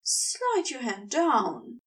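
A woman voicing a commanding, lustful male houseguest in an audiobook story, teasing and coolly controlling, with deliberate delivery. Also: fairly high pitch, huge pitch swings, recorded from a distance, exaggerated voice. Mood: commanding, coaxing.

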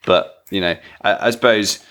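A man's voice: lowish voice, moderate pace, a bit bright. A man hosting a casual chat, rambling and hesitant with many fillers and restarts. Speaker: podcast host.

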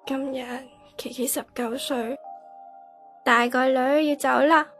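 A woman's voice: high-pitched, steady tone, slow, a bit bright, soft background music. A woman acting a sensitive, dramatic nineteen-year-old daughter in a radio drama, with a Hong Kong Cantonese accent. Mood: tearful farewell.

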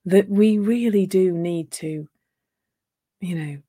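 A woman speaking as a coach in a live talk on relationships, gentle and reflective, her voice a little croaky from a sore throat. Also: mid-range voice, takes it slowly.

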